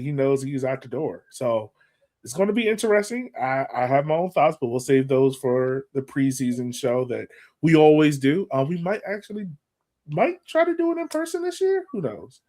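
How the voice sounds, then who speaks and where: A man's voice: medium pitch, animated, normal speed, darkish tone. American man hosting a sports podcast. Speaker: podcast co-host. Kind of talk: casual sports podcast chat.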